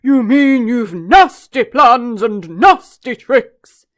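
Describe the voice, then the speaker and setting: A woman voicing a big, angry elephant in a children's story, bellowing slowly. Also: animated. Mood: furious. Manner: booming, slow, bellowing.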